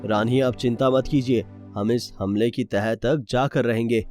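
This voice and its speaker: A man's voice: mid-range voice, fairly even intonation, unhurried, lightly acted. A man voicing a trusting, ageing king who feels betrayed, in a formal, dramatic cartoon-story manner. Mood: troubled, loyal. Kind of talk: dramatic Hindi moral-story cartoon dialogue. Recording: loud music bed behind voice.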